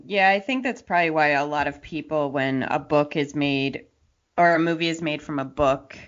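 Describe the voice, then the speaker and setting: A woman's voice: deep voice, normal speed. A woman hosting a podcast interview in a conversational style. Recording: slightly dull, lo-fi sound.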